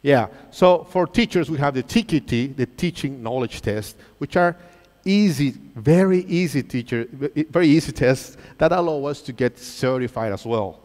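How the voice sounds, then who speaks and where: A man's voice: medium pitch, moderate pace. A man, presenting to a room of teachers and quizzing the crowd. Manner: lively, engaging, asks the crowd questions. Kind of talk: live quiz-style presentation to an audience. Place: large auditorium stage. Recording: reverberant hall sound.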